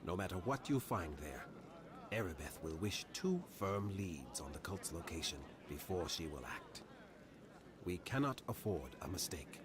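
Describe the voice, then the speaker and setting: An actor playing a grave, formal man who gives out quests in a fantasy game, with measured, old-fashioned phrasing. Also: sits low, sing-song, crowd noise. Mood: measured, advising. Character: grave, formal man directing a hunt for cultists. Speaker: quest-giving game character. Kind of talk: scripted fantasy game dialogue.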